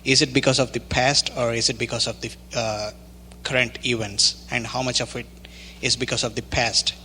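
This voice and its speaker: A man in the audience asking a question, halting and searching for words. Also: mid-range voice, little pitch movement, pushed and bright, slight background noise. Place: lecture hall. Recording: reverberant lecture hall recording.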